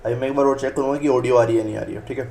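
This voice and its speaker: Brief: male stream tutor, casual and chatty, rattling along at a very quick clip, mid-pitched. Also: steady tone, gravelly, dark tone, a little hiss or hum. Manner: chatty, keeps checking in with "okay". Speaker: Python tutor streaming live. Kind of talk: casual live-stream teaching.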